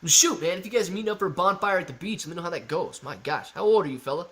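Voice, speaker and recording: A man in his thirties hosting a live stock market Q&A, reading viewer chat with playful, animated banter. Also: high voice, rapid-fire. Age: 30s.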